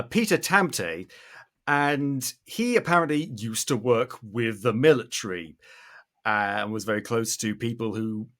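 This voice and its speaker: A British man in his thirties hosts a podcast in a mid-range voice. He gives animated, opinionated commentary and leans on key words with theatrical emphasis. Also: mid-range voice. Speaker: podcast host.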